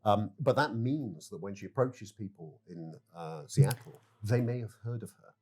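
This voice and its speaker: A man with a fairly low voice speaks as a game developer being interviewed. He is hesitant and careful, feeling his way through each answer in halting phrases. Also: darkish tone, husky.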